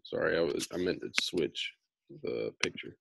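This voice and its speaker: A man's voice: very deep voice, expressive, moderate pace, gravelly, a bit bright, a little hiss or hum. An American man in his thirties reading a book aloud in an informal, slangy way with casual asides. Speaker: read-aloud storyteller.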